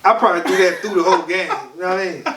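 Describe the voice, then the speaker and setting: A man's voice: sits high, fairly even intonation, rapid-fire. Stuffed-up man chatting on a podcast. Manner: laid-back, chatty, frequent "you feel what I'm saying". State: stuffed-up nose. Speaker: podcast co-host. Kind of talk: casual podcast chat. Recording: echoey room, mic at a distance, faint noise.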